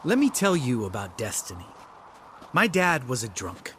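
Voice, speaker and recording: An actor playing a younger monster hunter in a fantasy video game, cynical and bitter, with a blunt, sardonic manner. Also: male voice, mid-range voice, huge pitch swings, normal speed, a bit bright, a little hiss or hum.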